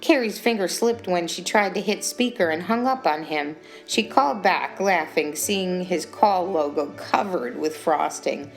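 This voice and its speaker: Low-pitched woman narrating a short story. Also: a little slow, gravelly, few pauses. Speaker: author reading own short story. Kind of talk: scripted audiobook narration. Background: music.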